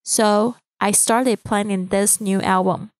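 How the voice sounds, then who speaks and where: A woman in her thirties from Taiwan with a Mandarin accent, a podcast host reading a calm, earnest, inspirational script. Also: mid-range voice, slow, dry recording. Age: 30s.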